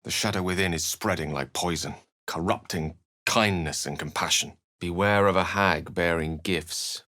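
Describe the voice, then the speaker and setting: A refined, scholarly video game wizard in middle age. He phrases things ornately and with wry precision, theatrical yet measured. The recording is close and dry. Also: low-pitched, pushed and bright, no room sound. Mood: measured, musing. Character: eloquent, refined adult male wizard companion, wry and scholarly.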